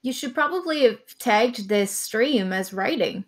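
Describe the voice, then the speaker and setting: Higher-voiced woman chatting casually. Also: expressive, unhurried. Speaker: stream guest and chat moderator. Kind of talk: casual chat.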